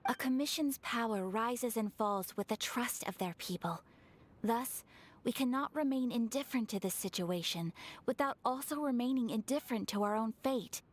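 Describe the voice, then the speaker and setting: A woman voicing a polite breathy young noblewoman. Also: on the high side, normal speed, close to an everyday voice. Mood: earnest formal. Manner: courteous, formal and earnest. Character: graceful, formal young noblewoman.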